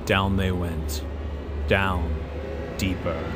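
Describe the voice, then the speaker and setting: A man playing a solemn, suspenseful narrator of an adventure serial, with drawn-out dramatic delivery. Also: low-pitched, level tone, background noise, pitched below the performer's own voice. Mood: hushed suspense.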